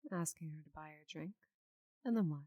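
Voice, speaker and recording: A woman voicing a giant female orc knight, teasing, confident and tenderly flustered, in a soft, intimate ASMR roleplay with breathy murmurs. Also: deep voice, fairly quick, dry recording, muffled recording. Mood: encouraging, confident.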